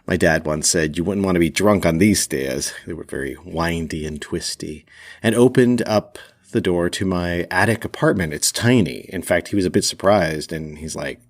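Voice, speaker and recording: Man, low-pitched voice, podcast storyteller, candid and wry, dramatic pauses. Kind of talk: personal storytelling.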